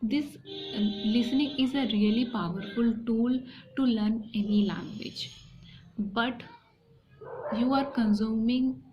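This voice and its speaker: A woman from India with an Indian accent, speaking hesitant, halting English with repeated phrases in a personal talk to viewers. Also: fairly high pitch, a little mellow, soft background music. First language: an Indian language alongside Hindi. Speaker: vlogger. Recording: dull lo-fi sound, faint background noise.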